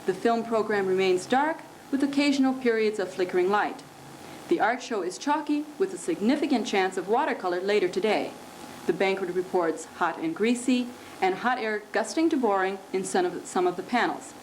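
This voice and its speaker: A woman acts as a deadpan female anchor in a comic futuristic newscast, delivering scripted news and weather like an official announcer. Her pitch is average, and the amateur recording has faint noise. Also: moderate pace, natural voice. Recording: noisy amateur 1970s recording.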